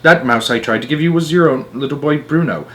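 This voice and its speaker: A man voicing a calm, matter-of-fact old grandmother with a sly streak, in a storybook. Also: a little high, fairly quick, a little hiss or hum, voice pushed up.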